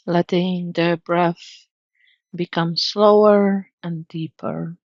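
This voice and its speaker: A woman narrating a guided meditation in a soothing, gentle, measured manner. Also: lowish voice, fairly even intonation, no echo, muffled recording.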